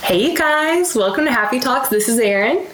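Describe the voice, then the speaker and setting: Casting note: female host, slightly high voice, chatty and giggly, echoey room. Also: lively intonation, moderate pace, a bit bright, soft background music. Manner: bubbly, laughs often, many likes and fillers. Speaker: podcast host. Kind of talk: casual chat. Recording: roomy echo.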